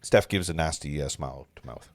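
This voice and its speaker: A man's voice: very deep voice, brisk, a little hiss or hum. A man narrating stage directions in an amateur script read, stumbling over words and correcting himself.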